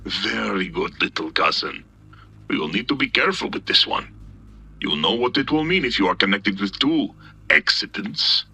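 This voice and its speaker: A menacing male fixer played by a man from an audio drama, with a very sharp, hard-edged, husky voice. He talks formally and condescendingly, his pitch swinging widely. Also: animated, moderate pace, full character voice. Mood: cool, warning. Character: stern, menacing foreign older male cousin, crime-family fixer.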